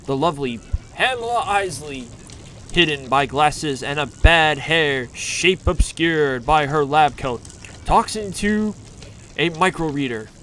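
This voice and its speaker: High-pitched man, slow theatrical narration. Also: animated, bright, forceful tone, slight background noise. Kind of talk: dramatic scripted narration, campy retro serial.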